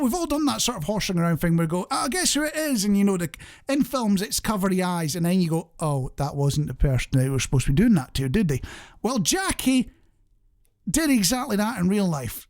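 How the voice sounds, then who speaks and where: A man's voice: high-pitched, creaky. A man hosting a light-hearted radio show, chatting in a jokey, self-deprecating way straight to the listener. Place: radio studio.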